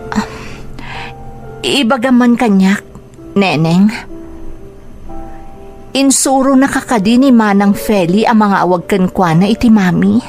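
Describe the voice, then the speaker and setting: A woman's voice: medium pitch, slow pace, pauses often, a little mellow, faint music behind. A woman playing a hurt, confused mother in a melodramatic radio drama, with an Ilocano accent. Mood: hurt, bewildered. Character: hurt, confused young mother rejected by her own child.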